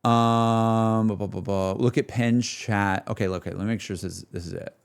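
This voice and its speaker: A man's voice: on the low side, steady tone, normal speed, vocal fry, smooth. A man livestreaming in a casual, slangy, repetitive way, talking to his chat and reacting off the cuff. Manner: slangy, repetitive, full of "like", "bro" and "dude". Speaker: streamer.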